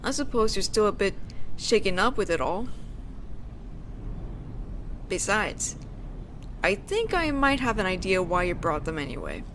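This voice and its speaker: A woman playing a teenage delinquent girl in an intimate audio roleplay, casual and teasing with a softly caring side and thoughtful pauses. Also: mid-range voice, animated, a little hiss or hum. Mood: gently probing. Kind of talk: slow intimate audio roleplay addressed to the listener.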